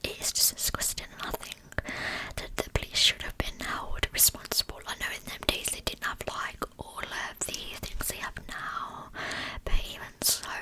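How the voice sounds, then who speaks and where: A woman speaks in a steady, breathy whisper as the host of a true crime ASMR recording. Her words stay unvoiced, carried on breath instead of full voice, in the manner of whispered storytelling. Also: normal speed, poor audio.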